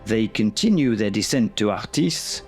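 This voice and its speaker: Measured male documentary narrator over music. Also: lowish voice, animated. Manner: measured, informative. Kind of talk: scripted narration.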